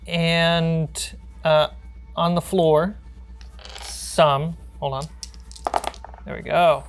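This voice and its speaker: A woman's voice: low-pitched, fairly even intonation, very slow, soft background music. A woman narrating a tabletop role-playing game as game master.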